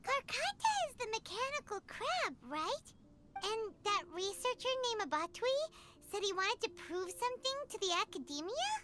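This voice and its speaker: A woman voicing a tiny, curious, excitable childlike fairy companion in a video game, who speaks of itself in the third person. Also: very high voice, normal speed. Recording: loud game music behind.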